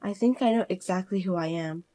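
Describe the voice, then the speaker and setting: A woman voicing a calm, cool-headed young working woman who speaks quietly and firmly, narrating in the first person. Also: lowish voice, fairly quick, close to an everyday voice.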